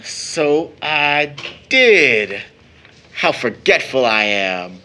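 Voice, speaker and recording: A man's voice: mid-range voice, sing-song, extremely slow, bright, forceful tone, slight background noise, exaggerated voice. A man acting as a proud young uncle in an audio drama, with a theatrical, formal way of talking. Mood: teasing. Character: playful, teasing young uncle, mock-pompous, proud, later embittered.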